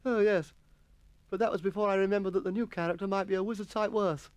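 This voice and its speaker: A man's voice: sits high, steady tone, fast talker, darkish tone, smooth, dry recording, voice pushed up, natural voice. A man voicing a well-mannered, solicitous young English schoolboy who speaks sincerely in a story reading. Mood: regretful. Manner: polite, sincere, boyish.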